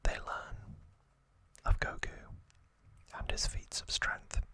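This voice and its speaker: A man hosting an ASMR video, narrating a recap in a soft, breathy, unvoiced whisper, in short phrases with pauses.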